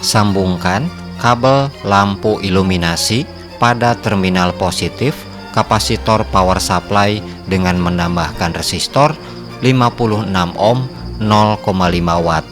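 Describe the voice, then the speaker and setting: Male narrator for a technical how-to: deep pitch, methodical and formal, scripted read over soft music. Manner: instructional, measured, formal step-by-step phrasing. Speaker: host of an electronics repair channel. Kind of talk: scripted narration of a repair tutorial.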